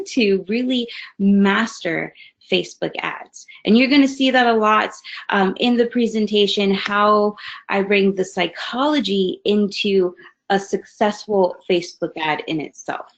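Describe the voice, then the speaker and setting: A woman's voice: medium pitch, unhurried, gravelly. A woman in her thirties from Hawaii giving a friendly, conversational webinar talk as guest presenter, with plenty of fillers. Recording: slightly dull webinar audio.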